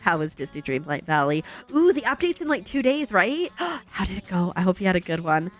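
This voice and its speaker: A woman's voice: mid-range voice, very animated, a little fast. Chatty young woman streamer, faint music. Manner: chatty, upbeat, giggly, talks to herself while building. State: slightly congested. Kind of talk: casual chat while playing a game.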